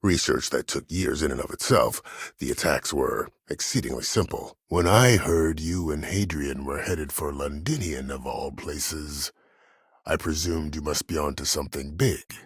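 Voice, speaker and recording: Imperious, calculating older male commander for a video game: extremely deep, rough-edged, coldly measured and formal. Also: no room sound, clean studio sound, heavily acted. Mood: composed, persuasive. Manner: measured, formal, deliberate, menacing. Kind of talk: video game character dialogue.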